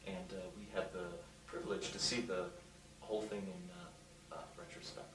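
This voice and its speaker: An actor playing a shy, gentle man who speaks softly and hesitantly, full of pauses, recorded from a distance. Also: fairly low pitch, big pitch swings, roomy sound, noisy background. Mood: awkward. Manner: soft-spoken, hesitant, awkward. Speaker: neighbor invited to dinner. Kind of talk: film dialogue. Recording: distant mic.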